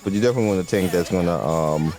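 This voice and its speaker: A deep-voiced man talks loosely through a game he's playing, often stopping to restart his sentences or fill gaps with "um." His tone is relaxed and conversational, and faint music plays underneath. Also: very fast. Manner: rambling, loose, with many fillers and restarts. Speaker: gaming channel host. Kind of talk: casual gameplay commentary.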